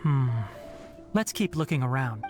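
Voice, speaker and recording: An actress playing a composed, thoughtful investigator in a video game, explaining things in a measured, analytical way. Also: female voice, rumbling low, lively intonation, over music. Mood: pensive. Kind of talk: dramatic video game voice acting.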